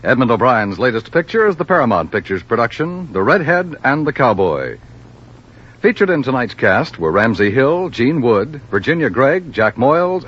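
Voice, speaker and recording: A man announcing the credits of a 1950s radio show in a formal announcing voice. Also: mid-range voice. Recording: dull old radio broadcast.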